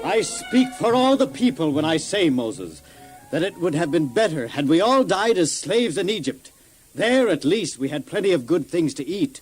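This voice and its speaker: A man's voice: on the high side, very animated, normal speed, breathy edge, soft background music, rough recording. An actor playing a worried, complaining Israelite father and elder in a children's audio Bible drama, speaking earnestly with some hesitation. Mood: accusing.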